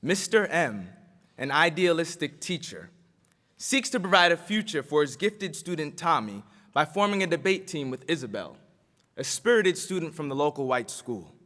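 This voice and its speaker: A man narrates a scripted introduction from a stage. His voice is bright and a little high for a man, and the hall rings around it. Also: big pitch swings, normal speed. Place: large ceremony hall stage. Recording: reverberant hall.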